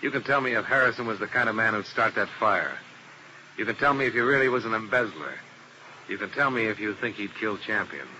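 A man's voice: fairly low pitch, brisk, bright, forceful tone, rough recording. An actor playing a hard-boiled male insurance investigator who narrates and questions people in a 1950s radio drama, in a terse, dry, probing manner. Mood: pressing. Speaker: narrator and lead detective. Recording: hissy old radio broadcast.

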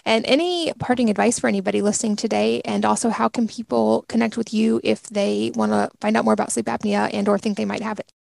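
A woman's voice: medium pitch, fairly even intonation, quick pace, gravelly, few pauses, darkish tone, no echo. A woman hosting a podcast who asks curious questions and affirms her guest's answers. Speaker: podcast host. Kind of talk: casual interview questions.

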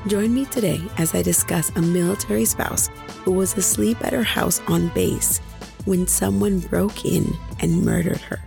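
A woman hosting a true crime podcast, hoarse after nearly losing her voice, chatting directly with her listeners. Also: lowish voice, moderate pace, over music. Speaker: podcast host.

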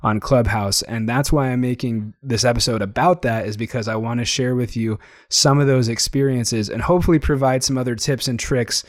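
A young man hosting his own podcast, talking casually and eagerly with a slightly hoarse, tired voice. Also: mid-range voice, fairly quick, no echo, clean studio sound. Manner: chatty, enthusiastic, frequent fillers. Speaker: podcast host. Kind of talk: casual solo podcast monologue.

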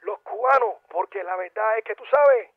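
A man doing a nosy neighbor who claims to be Cuban, his voice pitched very high as he keeps pressing and acting innocent. He sounds insistent and wounded whenever he is pushed back. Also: animated, moderate pace, dry recording, phone-quality sound. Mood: innocent, persuasive. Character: pushy male neighbor posing as Cuban. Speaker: prank caller. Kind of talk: prank phone call.

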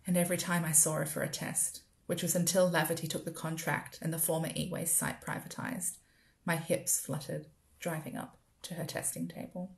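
Australian woman, spoken-word writer, lowish voice with heavy fry, measured literary read. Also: level tone. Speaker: writer performing own work.

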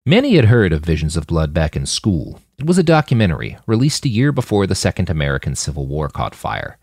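A man's voice: sits low, wildly swooping pitch, a little fast, darkish tone, dry recording, studio quality. A man reading scripted fiction as an audiobook narrator for a podcast.

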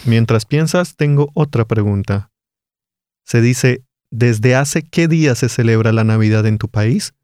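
A man's voice: medium pitch, measured pace, a little mellow, smooth, dry recording, studio quality. A man with a Colombian accent, co-hosting an educational podcast and asking quiz-style questions. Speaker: podcast co-host.

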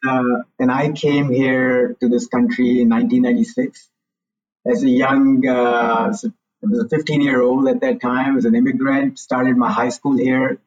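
A man's voice: mid-range voice, level tone, normal speed, recorded from a distance, lo-fi sound. A man in his forties from India, a guest telling his story frankly in a casual interview. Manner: candid, hedges with "you know, look".

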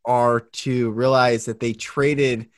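A man's voice: medium pitch, flat delivery. Thoughtful male podcast host. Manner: thoughtful, halting, with fillers. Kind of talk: reflective interview talk.

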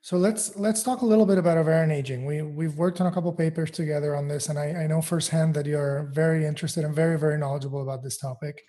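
A man hosting a podcast, presenting in an orderly way and putting questions to a guest, with a husky voice. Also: a little high, steady tone, fast, hardly any pauses, warm tone. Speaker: podcast host. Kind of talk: scripted podcast introduction and interview questions.

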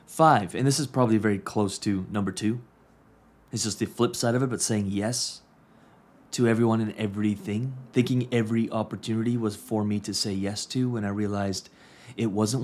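Guy in his 30s doing a podcast monologue, kinda low voice, earnest, repeats stuff for emphasis. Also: darkish tone. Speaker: podcast host and business coach. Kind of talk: casual reflective monologue.

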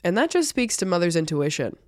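A woman hosts a true crime podcast while fighting a cold, and her voice sounds congested. She talks in a casual, chatty way and sits fairly low in her range. The sound is dry and close, with no room around it. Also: big pitch swings, fairly quick, no echo. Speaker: podcast host.

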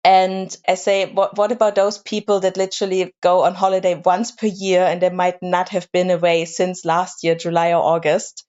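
Woman guest, lowish voice, casual and searching, lots of small restarts. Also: monotone, normal speed, hardly any pauses, darkish tone, muffled recording. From Germany. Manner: thoughtful, repeats words as she searches for them. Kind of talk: casual interview chat.

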